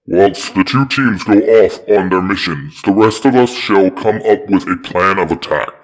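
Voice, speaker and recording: Man acting a deep-voiced, stately old mentor. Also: normal speed, rough recording. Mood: commanding. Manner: formal, stately. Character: wise, formal, authoritative old male mentor.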